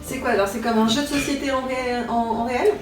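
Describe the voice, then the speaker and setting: A woman's voice: on the high side, steady tone, fast, echoey room, far from the mic, over music. A woman hosting a casual interview, asking friendly short questions. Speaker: show host.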